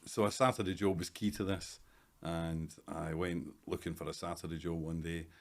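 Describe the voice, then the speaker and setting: A Scottish man in his fifties, a hairdresser guest in a casual interview, talking in a dry, self-deprecating, measured way. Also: low voice, little pitch movement. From Scotland. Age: 50s.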